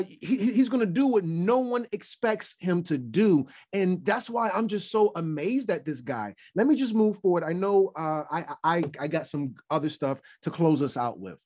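Rambling, animated man telling a story. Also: sits high. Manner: animated, rambling with many restarts. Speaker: podcast co-host. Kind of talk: casual storytelling chat.

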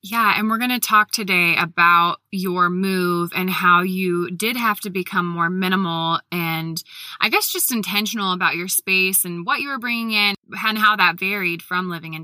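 A woman's voice: fairly low pitch, sharp tone, no room sound. A woman hosting a parenting podcast, reading her intro, then interviewing a guest over a video call. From Ohio, USA. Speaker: podcast host.

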